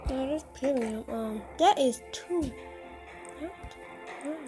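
A high, youthful voice belongs to a teenage gamer who talks slowly between bursts of excitement, repeating short phrases and yelling at other players. Loud game music plays right under the voice, picked up by a phone mic during a screen recording. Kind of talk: casual gameplay commentary.

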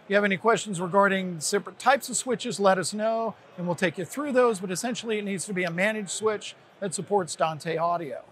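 An American man in his sixties with a husky voice, presenting a product to camera in an unscripted, explanatory, conversational way. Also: high-pitched, brisk, few pauses, fairly bright tone, slight background noise. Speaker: product presenter, company representative. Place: large indoor trade show hall, at a booth.

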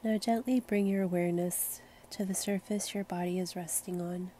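A woman leading a guided meditation in a gentle, soothing, unhurried manner. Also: on the low side, slight background noise. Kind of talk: slow guided meditation narration.

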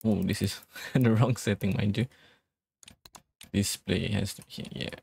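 A man's voice: on the low side, fairly even intonation, unhurried, gravelly, a little mellow, husky. A man giving casual let's play commentary, thinking aloud and reading out instructions as he goes. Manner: murmured thinking aloud, reads on-screen instructions. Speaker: gaming video host.

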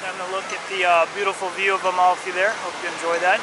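Man, thirties, high voice, upbeat rambling travel vlog, arm's-length camera, loud outdoor noise. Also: level tone, quick pace. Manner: rambling, upbeat, many fillers. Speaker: travel vlogger. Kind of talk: casual travel vlog narration. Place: outdoors on a ship deck and in town. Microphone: action camera held at arm's length.

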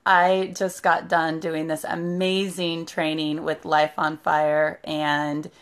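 Hoarse woman, raspy voice, fairly low pitch, casual live stream, phone at arm's length in a living room. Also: little pitch movement, takes it slowly. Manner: chatty, greets viewers by name mid-sentence. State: hoarse from shouting. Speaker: therapist. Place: living room with sofa, indoors. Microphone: phone camera at arm's length.

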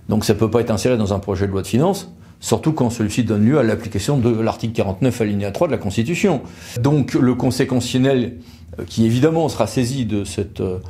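A man's voice: on the low side, warm tone. A man in his forties with an accent from France, explaining legal news to camera in an informal, conversational way. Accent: French from France. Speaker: presenter and interviewer. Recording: clearly audible background noise.

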